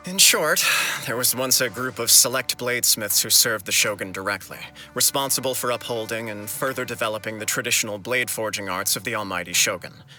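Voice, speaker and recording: A man's voice: on the low side, a little fast, pushed and bright, faint music behind. An actor playing a courteous, earnest nobleman in a video game, speaking formally and politely. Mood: solemn, explanatory. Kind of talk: video game cutscene acting.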